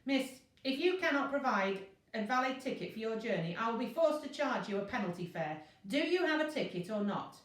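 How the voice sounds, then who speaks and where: A woman's voice: on the high side, animated, fairly quick, few pauses, voice pushed up, close to an everyday voice. A woman voicing an officious, snappy male train ticket inspector from a storybook. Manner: barking, snappy, exasperated. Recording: roomy echo, distant microphone.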